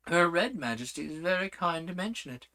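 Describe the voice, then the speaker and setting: Man doing a soft, cooing gentle queen. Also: a little high, expressive, brisk, voice pushed up.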